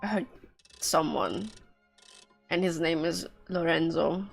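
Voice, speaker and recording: A woman's voice: low-pitched, slow, gravelly. A woman with a stuffy nose giving casual, joking and blunt live commentary as she plays a video game. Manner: joking, blunt, reacting aloud. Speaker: game streamer. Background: game music.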